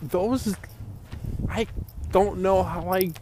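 A man's voice: sits high, drawn-out words, background noise. A young man co-hosting a podcast, telling stories in casual chat, acting out quotes and laughing often. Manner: animated storytelling, laughing, acts out quotes. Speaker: podcast co-host.

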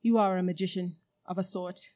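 A woman's voice: fairly low pitch, steady tone, a little fast, darkish tone, natural voice. A woman voicing a cruel, towering witch queen who speaks with cold, scornful command.

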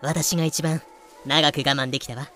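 Guy doing blasé pirate captain, girly way of talking, cruel streak, forward bright tone, voice drama. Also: on the high side, fairly even intonation, normal speed, faint music behind. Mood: playfully nonchalant. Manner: feminine phrasing, nonchalant. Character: pirate captain Blackbeard, languid, feminine-spoken, casually cruel. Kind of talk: fan-made voice drama acting.

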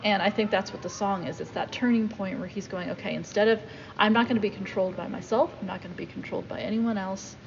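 A woman's voice: medium pitch, fairly even intonation, fast, vocal fry, hardly any pauses, big-room sound, muffled recording, slight background noise. A woman co-hosting a casual talk show, thinking aloud and hedging her opinions. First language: not Korean. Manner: thoughtful, hedging. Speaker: podcast co-host. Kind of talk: casual discussion of song lyrics.

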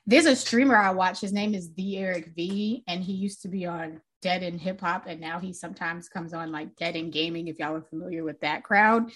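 A young woman co-hosting a music podcast in casual chat. She talks loosely, swears freely, laughs often, and calls her own voice pitchy and a little grating. She speaks close to a desk mic. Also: normal speed, few pauses, a bit bright. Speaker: podcast co-host.

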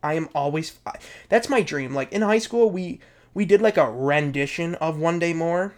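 Enthusiastic man with a higher voice. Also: moderate pace. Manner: enthusiastic, repeats words for emphasis. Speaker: podcast co-host. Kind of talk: casual chat.

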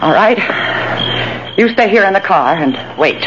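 A woman's voice: medium pitch, expressive, a little slow, close to an everyday voice. An actress playing a cold, ruthless, commanding woman schemer in 1940s radio drama style, giving decisive orders. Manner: decisive, domineering, crisp orders. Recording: noisy, muffled old radio recording.

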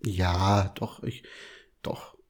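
A man's voice: sits low, fairly even intonation, drawn-out words. A man from northeastern Germany co-hosting a casual podcast, hesitant and repeating words as he starts sentences. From Mecklenburg-Vorpommern, Germany. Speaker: podcast co-host. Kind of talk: casual chat.

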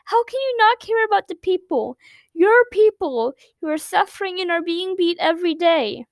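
A woman's voice: high voice, moderate pace, no room sound, lightly acted. A woman voicing a fiery, emotional young woman who speaks with indignation.

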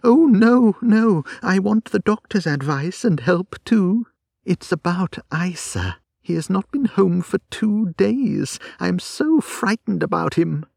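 A man's voice: high voice, flat delivery, takes it slowly, few pauses, a little mellow, no echo, clean studio sound, voice pushed up. A man voicing a distressed young woman, pleading and frightened, in a storybook reading. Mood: distraught.

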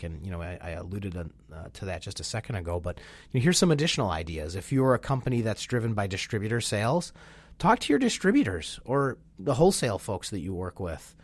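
Man, lowish voice, a bit nasal and stuffy from a sinus infection, lively webinar co-host, dry close mic. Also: no echo. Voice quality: slightly nasal. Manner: animated and enthusiastic, with frequent "you know" fillers. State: congested, getting over a sinus infection. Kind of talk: conversational business webinar. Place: Chicago.

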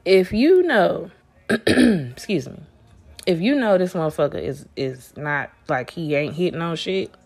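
A woman's voice: fairly low pitch, expressive, measured pace, creaky, darkish tone, husky. Profane, rambling woman podcaster, congested. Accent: Southern US. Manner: blunt, profane, rambling with restarts. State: congested from allergies. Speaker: podcast host. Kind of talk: casual solo podcast rant.

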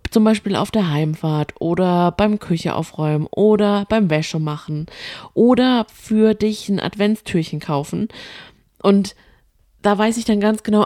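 A young woman chats as a podcast co-host, with a fairly low pitch and a mellow tone. She rambles along in a chatty, friendly way. The recording is dry and close. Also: normal speed, no echo. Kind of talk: casual chat.

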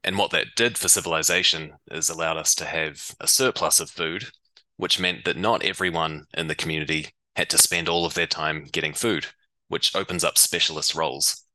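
A man from New Zealand with a New Zealand accent, a startup co-founder and CEO explaining things in a relaxed way as a podcast guest. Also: extremely low pitch, moderate pace, dry recording, low-quality recording. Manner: relaxed explaining, uses reckon and heaps. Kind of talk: podcast interview.

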